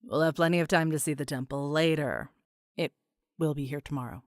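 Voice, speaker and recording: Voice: mid-range voice, steady tone, a little fast, dry recording, studio quality, close to an everyday voice. A performer playing a composed, reassuring young trainee in an audio drama, polite and formal in phrasing.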